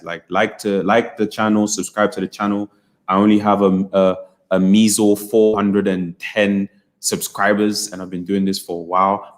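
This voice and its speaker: Brief: young British man, lowish voice, chatty livestream host, upbeat and encouraging. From United Kingdom. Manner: chatty, encouraging, repeats phrases, frequent fillers. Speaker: business coach hosting a livestream. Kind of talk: casual livestream talk to chat.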